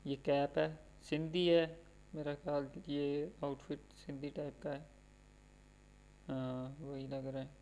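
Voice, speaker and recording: A man's voice: a little high, flat delivery, slow, a little mellow, slight background noise, rough recording. A man with a Pakistani accent chatting casually over gameplay as a gaming YouTuber.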